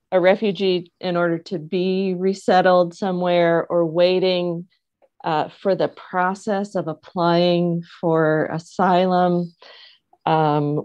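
A middle-aged woman moderating a panel over a video call, speaking in halting, thoughtful phrases from her home office. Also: on the low side, a little mellow, clear, resonant tone. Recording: dull muffled video call audio.

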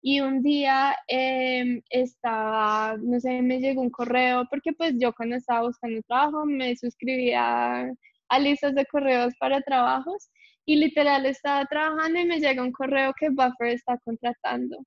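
A woman in her twenties, born in Colombia and raised in Canada, telling her story in a chatty, animated way with many fillers on a video call. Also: high-pitched, normal speed, dry recording. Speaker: guest, software developer. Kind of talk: casual interview chat. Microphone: laptop webcam on video call. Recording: dry, dull video call audio.

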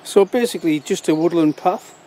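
A middle-aged man speaks in an easygoing, unhurried way as he walks through woodland, pointing things out while he digs. His voice sits a little high for a man. It is caught on a handheld phone outdoors, with faint outdoor noise behind him. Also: warm tone. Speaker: forager. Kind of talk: casual walk-and-talk foraging demo.

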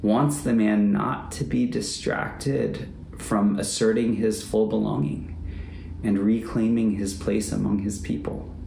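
A man in his forties preaching a reflective sermon as a pastor, speaking in a measured, deliberate way and repeating phrases for emphasis. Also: lowish voice, fairly even intonation, dark tone. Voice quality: gravelly, creaky. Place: book-lined home office or study, indoors. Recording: roomy echo, faint background noise.

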